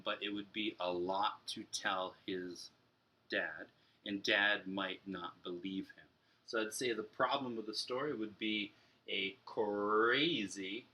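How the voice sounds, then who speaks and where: Bright-voiced young man teaching. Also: lowish voice, low-quality recording. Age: young adult. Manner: explanatory, addresses pupils directly. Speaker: elementary teacher. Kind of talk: read-aloud and story structure lesson for children. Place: room at home, indoors.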